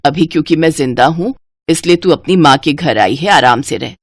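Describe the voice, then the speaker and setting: A woman voicing a gentle, older widowed mother in a cartoon, calm and soothing. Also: low-pitched, moderate pace, no room sound, studio quality, voice pushed down. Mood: consoling. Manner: calm, consoling.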